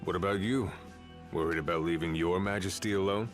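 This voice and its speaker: Brief: male actor as a laconic old warrior, deep and dry. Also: fairly even intonation, a little fast, lightly acted. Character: weary, dry-witted veteran male warrior. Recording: game audio with music.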